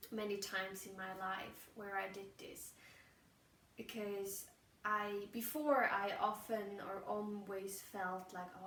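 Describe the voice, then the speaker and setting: A woman's voice: mid-range voice, a bit bright, slight background noise. A woman with a German accent, a native German speaker, hosting her own podcast in a casual, reflective monologue, musing and searching for words, often restarting her sentences. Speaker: podcast host. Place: outdoors while walking. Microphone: phone voice memo held away. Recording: roomy, distant phone memo with faint ambience.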